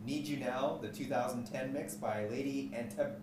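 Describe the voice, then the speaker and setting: Male podcast host, mid-pitched, relaxed chat format, roomy echo and noise. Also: moderate pace. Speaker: podcast host reading out a countdown. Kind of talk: casual hosting and chat. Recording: echoey room, noticeable background noise.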